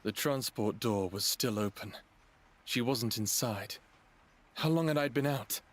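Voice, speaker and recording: A man's voice: mid-range voice, a little slow, close to an everyday voice. A man playing a wounded, guilt-ridden narrator in an audio drama, speaking emotionally and confessionally. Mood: anguished confession. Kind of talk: audio drama acting with first-person narration.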